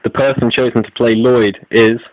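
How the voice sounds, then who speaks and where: A man's voice: mid-range voice, steady tone, normal speed, a little hiss or hum. A man hosting an online video update, reading out announcements in a scripted style. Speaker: video creator and host. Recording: phone-like, narrow lo-fi sound.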